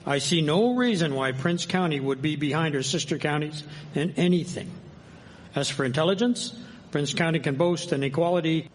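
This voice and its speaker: A man's voice: fairly high pitch, low-quality recording. A man playing an earnest, civic-minded nineteenth-century newspaper editor in a historical radio drama, speaking in formal, old-fashioned phrases.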